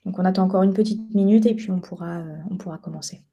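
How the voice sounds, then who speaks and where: A woman's voice: fairly low pitch, quick pace, dark tone, dull audio, faint music behind. A young woman co-hosting a live webinar from an office, explaining things in a conversational way with frequent hesitations. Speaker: project officer and webinar co-host. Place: office at headquarters.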